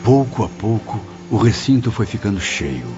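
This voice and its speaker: A man's voice: lowish voice, very animated, moderate pace. A man playing a dignified departed memoirist, narrating formally in an audio drama. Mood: reflective. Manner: formal, descriptive. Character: dignified deceased male memoirist recalling the afterlife. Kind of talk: scripted first-person dramatised narration. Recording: dull lo-fi audio with music behind. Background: clear background music.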